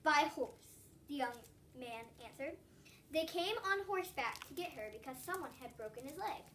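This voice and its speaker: Little kid reading a storybook out loud, high voice, kinda far from the mic, really stresses some words. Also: animated, normal speed, slight background noise. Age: child, about 8. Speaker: young reader of a story. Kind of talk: storybook read aloud. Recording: distant from the microphone.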